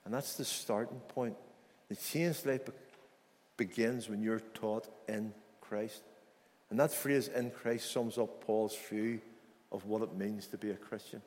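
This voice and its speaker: A man preaching a sermon in an earnest, storytelling manner, repeating his points for weight. His voice sits fairly low. The sound carries a long hall reverb, as if from a church hall. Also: steady tone, unhurried, darkish tone. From Ireland.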